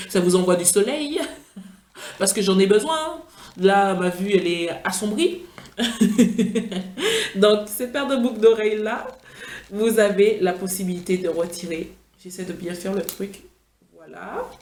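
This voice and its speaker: A woman's voice: medium pitch, a little mellow. Chatty, tired woman in an echoey room. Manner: chatty, playful, gushing with enthusiasm. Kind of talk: casual product-review vlog.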